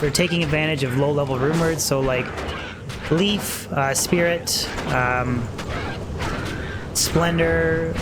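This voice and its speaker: Higher-pitched man streaming, noisy background. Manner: rambling, slangy, self-deprecating. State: voice tiring. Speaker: game livestreamer. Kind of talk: casual livestream chat and game commentary.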